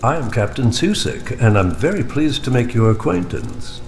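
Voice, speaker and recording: An actor playing a menacing, silkily polite starship captain who probes like an interrogator in a sci-fi audio drama. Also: male voice, medium pitch, sing-song, gravelly, a little hiss or hum. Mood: hungry interest. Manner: measured, courteous, probing interrogator.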